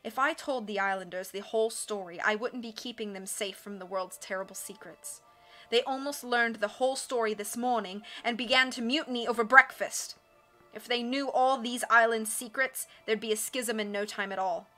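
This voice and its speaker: A woman voicing a calm, secretive old male island leader in a storybook, measured and smoothly evasive. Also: a little high, soft background music. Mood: secretive, reassuring.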